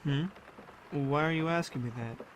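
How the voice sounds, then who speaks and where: A man acting a weary, sarcastic high school boy in an audio drama, with a dry delivery. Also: normal speed, natural voice. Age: young adult. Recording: ambient vehicle noise behind.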